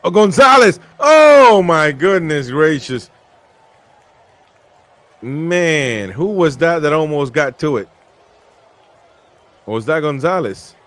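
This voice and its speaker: A man's voice: on the high side, expressive, slow, pauses often, fairly bright tone. Host: Peru-born man, casual live match chat, reads comments from viewers.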